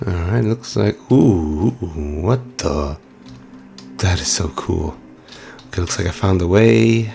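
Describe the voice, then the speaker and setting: A hoarse man giving casual, musing let's-play commentary with plenty of fillers while he plays. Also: sits low, expressive, takes it slowly, warm tone, soft background music. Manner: musing, chatty, many fillers. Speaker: game streamer.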